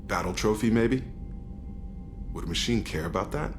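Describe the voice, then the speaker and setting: A sceptical military crewmate in a video game. His low voice delivers terse, wry remarks bluntly. Music is clearly audible behind him. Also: expressive, normal speed. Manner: dry, terse. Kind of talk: scripted video game acting.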